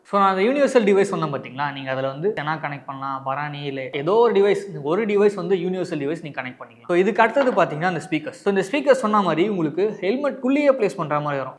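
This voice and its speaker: A man with an Indian Tamil accent presenting an unboxing and product review, explaining directly to viewers and mixing in English words. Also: high-pitched.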